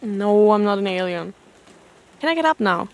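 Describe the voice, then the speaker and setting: A woman's voice: medium pitch, expressive, normal speed, close to an everyday voice. A woman acting a weary, stranded astronaut in an audio drama, with a dry, sarcastic manner. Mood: wry, baffled.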